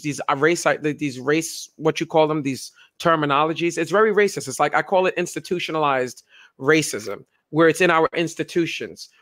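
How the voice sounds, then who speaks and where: A young man co-hosting a casual political chat, talking in an animated way and acting out the people he quotes. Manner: animated, acts out quoted voices with pitch jumps. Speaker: podcast co-host.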